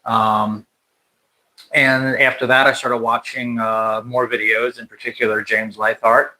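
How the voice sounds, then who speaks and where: A man's voice: medium pitch, level tone, unhurried, sharp tone, poor audio. An American man hosting a casual livestream chat, talking in a rambling way with many restarts and fillers. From United States. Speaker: channel host. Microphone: livestream mic.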